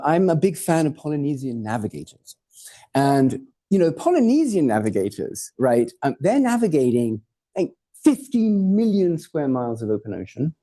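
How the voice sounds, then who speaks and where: Very dark-voiced man giving a rambling lecture. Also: on the high side. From born in Hawaii, raised in Portugal and England. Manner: informal, digressive, jokey, swears for effect. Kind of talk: academic talk with slides. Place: lecture hall.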